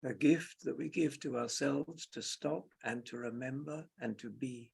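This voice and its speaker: Slow, gravelly man guiding a meditation. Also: mid-range voice, a little mellow. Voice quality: gravelly, husky. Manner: unhurried, short phrases with long pauses. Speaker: meditation teacher. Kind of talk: guided meditation, spoken slowly and softly.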